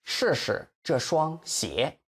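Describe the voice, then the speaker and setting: A man with a Chinese accent, a native Chinese teacher explaining pronunciation in a lesson, carefully modeling words slowly and stretching syllables. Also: on the high side, sing-song, husky. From mainland China.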